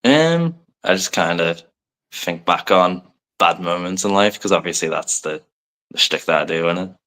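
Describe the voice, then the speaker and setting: Young man, from Liverpool, very low voice, bright tone, soft English accent, rambling casual guest chat. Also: animated, normal speed. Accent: soft English, light Americanisms. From Liverpool, England. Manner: rambling, many fillers, self-deprecating. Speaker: professional wrestler, guest. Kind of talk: casual podcast interview.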